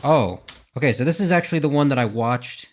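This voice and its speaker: A man hosts a gaming reaction video in a medium-pitched voice. He talks informally and thinks aloud, speculating and checking himself as he goes. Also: lively intonation, fairly quick. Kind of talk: casual commentary, thinking aloud.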